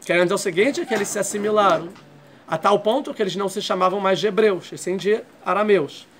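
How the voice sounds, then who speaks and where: A man's voice: high-pitched, fast talker, a bit bright. A man teaching a Torah class. He explains step by step and keeps checking in with his students. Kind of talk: classroom lecture.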